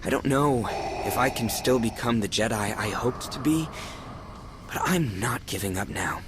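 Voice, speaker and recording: A man's voice: mid-range voice, normal speed, noisy background, lightly acted. A man voicing an earnest, nervous young Jedi apprentice in a video game, with a hesitant, stammering delivery. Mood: earnest, uncertain. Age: young adult.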